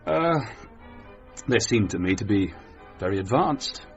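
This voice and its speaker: An actor playing a cool, educated English athlete with an English accent, understated and dryly sceptical in a radio drama. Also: male voice, on the low side, animated, unhurried. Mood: guarded. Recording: muffled radio drama with music behind.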